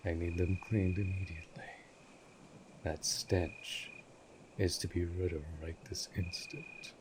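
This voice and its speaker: A man's voice: low-pitched, level tone, a little slow, background noise. A man voicing a cold, imperious centuries-old vampire lord in an audio roleplay drama, speaking formally and with disdain. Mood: stern, disgusted.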